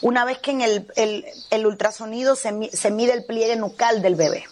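A woman's voice: a little high, fairly even intonation, normal speed, a little mellow. A middle-aged woman pastor from Cuba, with a Cuban Spanish accent, telling her testimony with fervent earnestness in a TV interview.

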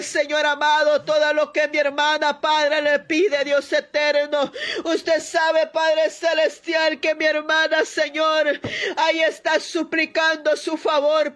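High-pitched woman in fervent, slow prayer. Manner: impassioned, pleading, loud crying-out, punctuated by repeated invocations. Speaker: prayer leader.

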